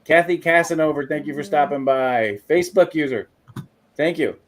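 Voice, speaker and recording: A man hosts a live talk show with a fairly high voice. He sounds upbeat and effusive and keeps cracking jokes as he thanks everyone. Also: normal speed. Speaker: podcast host. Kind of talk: casual live show chat.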